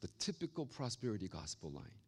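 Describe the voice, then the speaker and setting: A man from Korea with a Korean accent, preaching a sermon as a pastor and telling stories with lively acted-out voices. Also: lowish voice, brisk, breathy edge. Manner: animated, acts out quoted voices. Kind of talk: storytelling sermon.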